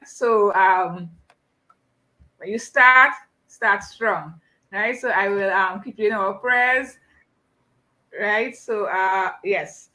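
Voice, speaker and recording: Woman, Trinidadian accent, bright forceful voice, effusive chat host, repeats phrases for emphasis. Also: medium pitch, poor audio. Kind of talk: casual live chat interview.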